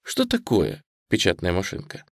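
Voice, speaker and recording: A man reading a first-person audiobook as scripted narration, with lively phrasing that trails off softly at the ends. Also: low voice, normal speed, creaky, no echo, clean studio sound.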